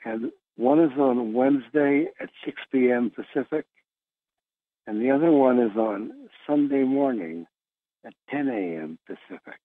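A man's voice: mid-range voice, a little slow, dry recording. American man, dull lo-fi call-in sound. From Philadelphia, USA. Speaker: conference call host. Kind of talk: casual, rambling call-in talk. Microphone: phone line on conference call.

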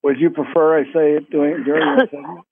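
A man hosting a spiritual conference call by telephone, speaking informally and deliberately in short phrases with long pauses. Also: fairly high pitch, steady tone, background noise. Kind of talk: informal spoken introduction to a live group call. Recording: muffled telephone conference line.

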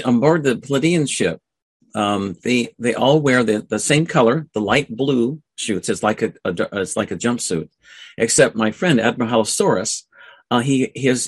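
Husky-voiced man hosting a talk show, halting. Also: mid-range voice, no echo. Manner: halting, repetitive, stumbling over phrases. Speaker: radio show host. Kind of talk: informal monologue on a talk show.

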